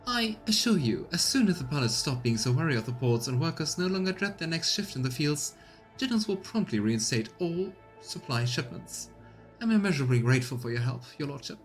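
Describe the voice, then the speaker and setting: A man's voice: on the high side, big pitch swings, fairly quick, faint music behind. A man voicing a courtly, self-assured female governor in a video game, speaking in formal, flowery and deferential phrases. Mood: courtly, businesslike. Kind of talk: read-aloud game dialogue.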